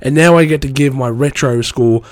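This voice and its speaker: A man's voice: mid-range voice, normal speed, no room sound. A man with a cold hosting his own music review podcast, talking in an enthusiastic, rambling way. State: has a cold. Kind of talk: solo podcast commentary.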